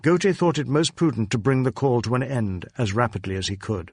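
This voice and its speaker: A man's voice: medium pitch, a little fast, a little mellow, husky, no echo, studio quality. A man reading a crime novel as an audiobook narrator, in scripted storytelling prose.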